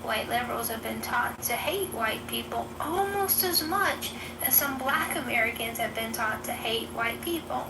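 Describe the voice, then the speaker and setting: A woman in a voice-chat debate who lays out her point in a measured way and cites a study. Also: on the high side, animated. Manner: measured, cites data, dismissive. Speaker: debate participant. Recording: echoey, distant, noisy playback.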